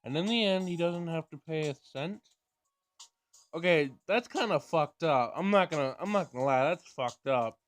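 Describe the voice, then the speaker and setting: High-pitched man reading a game aloud. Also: lively intonation, normal speed. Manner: stumbles over words and repeats them while reading. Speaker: gamer reading a visual novel aloud. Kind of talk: let's play, reading dialogue aloud with casual commentary. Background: game music.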